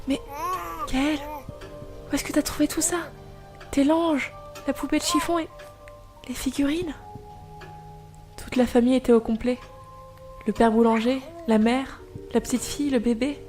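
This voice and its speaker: Anxious young mother narrating, high-voiced actress. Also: steady tone, normal speed, long pauses, mellow, faint music behind, close to an everyday voice. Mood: puzzled, then uneasy. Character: anxious young mother haunted by eerie household happenings. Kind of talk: first-person scripted narration in an audio drama.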